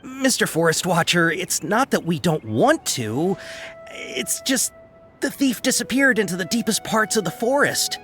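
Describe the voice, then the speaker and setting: A man's voice: sits high, very animated, measured pace, sharp tone, soft background music, heavily acted. A man playing a worried, apologetic caravan merchant in a game, explaining himself hesitantly. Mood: worried, defensive. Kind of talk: English game dub dialogue.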